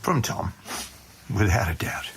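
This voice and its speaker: A man's voice: deep voice, very animated, a little slow, gravelly, fairly bright tone, slight background noise, full character voice. An actor playing an angry, blunt middle-aged father, protective and accusing, in an audio drama. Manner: blunt, emphatic.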